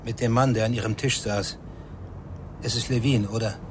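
An actor playing a grave, secretive man protecting a fugitive, terse and breathy, in a radio drama. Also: medium pitch, fairly even intonation, moderate pace, background noise, natural voice. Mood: guarded, urgent. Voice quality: very husky, breathy. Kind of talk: German radio drama acting.

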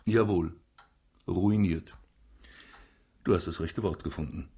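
Pompous, low-voiced banker father. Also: slow pace, mellow, slightly rough, heavily acted. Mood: frank, scheming. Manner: pompous, businesslike. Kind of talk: radio drama acting.

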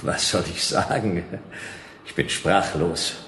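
Deep, slow, guarded undercover detective. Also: male voice, fairly bright tone. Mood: guarded, dry. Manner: hesitant, laconic. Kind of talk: German radio drama acting. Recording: echoey room with faint noise.

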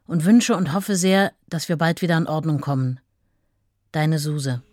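Dry-sounding, long-suffering wife. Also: female voice, sits low, unhurried, darkish tone, dry recording. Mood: composed, hopeful. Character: writer's steady, long-suffering wife recalling their marriage. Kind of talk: radio play monologue.

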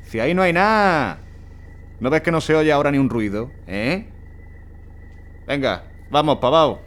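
A man's voice: expressive, moderate pace, a bit bright. A man acting in an audio drama as a weary, impatient driver, with a colloquial, grumbling delivery. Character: weary, impatient male driver searching refugee-packed road with a child. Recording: noisy, with vehicle rumble behind.

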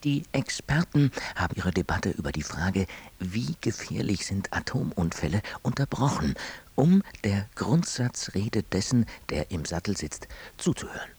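Low husky ceremonial live commentator. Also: male voice, very animated, unhurried, a little mellow, exaggerated voice. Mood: solemn reporting. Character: solemn, excited male live commentator at a state ceremony. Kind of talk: live event commentary in a radio play.

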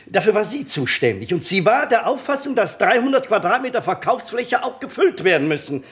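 An actor playing a flustered, defensive shopkeeper in a radio crime drama, stammering and hesitant under questioning. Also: male voice, high-pitched, very animated, a little fast, fairly bright tone. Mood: evasive, protesting.

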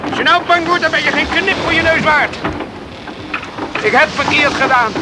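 An actor as a very high-voiced boy in a storm. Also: moderate pace, lightly acted. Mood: scared, self-steeling. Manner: exclaiming, talking to himself. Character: defiant, frightened boy alone in a storm. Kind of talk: Dutch radio play acting. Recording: loud storm wind over the voice.

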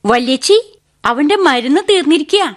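A proud, chirpy little girl who fusses over her big sister. Her voice is high and bounces around. It sounds like an old radio drama. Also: expressive, normal speed. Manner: chirpy, childlike.

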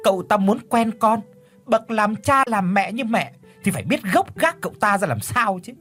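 A man's voice: sing-song, normal speed, soft background music. A man voicing a scornful middle-aged mother who mocks and scolds. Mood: prying. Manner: mocking, scolding, high and loud.

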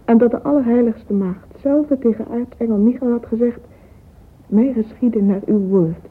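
An actress playing a vain, romantic young woman in a radio drama monologue, intimate and breathy, often whispering. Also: on the high side, normal speed. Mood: dreamy, rapt. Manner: intimate, confiding. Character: dreamy, vain, catty romantic young woman musing privately. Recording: tinny, hissy old radio recording.

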